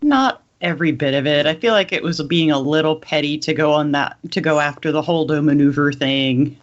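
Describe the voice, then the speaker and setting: A woman's voice: deep voice, fairly quick. A woman co-hosting a podcast in casual chat, blunt in her opinions, on slightly muffled audio. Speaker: podcast co-host.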